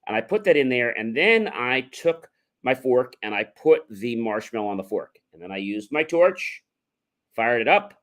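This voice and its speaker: A man's voice: medium pitch, very animated, normal speed, fairly bright tone. A man hosting a motivational livestream, talking in a casual, rambling, conversational way with emphatic asides. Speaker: livestream host, motivational speaker.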